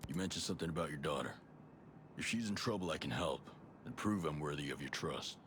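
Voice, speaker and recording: A very low, gruff male video game soldier, steady and quietly threatening. He speaks like a controlled special forces operative, with faint noise behind him. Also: a little fast. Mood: calm, businesslike. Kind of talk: video game cutscene acting.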